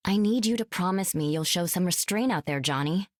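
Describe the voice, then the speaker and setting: A woman playing a responsible, no-nonsense big-sister heroine in a video game, brisk and firm. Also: lowish voice, lively intonation, no echo, studio quality.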